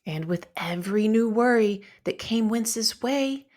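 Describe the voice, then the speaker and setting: A woman reading a children's story aloud in an expressive, deliberate narrating style. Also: fairly high pitch. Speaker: storybook reader.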